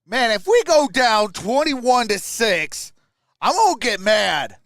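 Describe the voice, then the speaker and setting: A man's voice: extremely high pitch, very animated, slow, fairly bright tone, no room sound, clean studio sound. A man giving informal live fan play-by-play on a sports stream, reacting aloud and chatting with viewers. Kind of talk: live fan commentary and chat.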